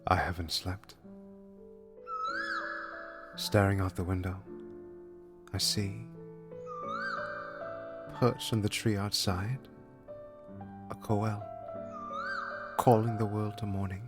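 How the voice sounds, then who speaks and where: Tired melancholy musician, slower delivery, withdrawn, irritable, audio drama, music behind. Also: male voice, on the low side, fairly even intonation. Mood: pensive, melancholy. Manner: clipped, evasive, withdrawn. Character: weary, irritable male musician, leaving his country, evasive lover. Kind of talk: audio drama acting, monologue and domestic dialogue. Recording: music under the voice.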